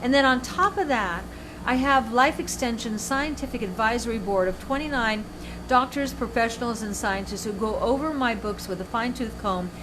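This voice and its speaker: An older woman hosting her show, telling stories in an animated, emphatic way with many restarts. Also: fairly high pitch, moderate pace, noisy background. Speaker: show host and author. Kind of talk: casual chat.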